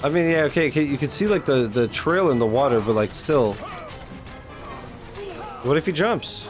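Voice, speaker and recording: A man with a slightly high voice chats like a live streamer. He is blunt, slangy and profane, with clear game music playing behind him. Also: expressive, moderate pace. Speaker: streamer reacting to game cutscenes. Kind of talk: casual live-stream chat.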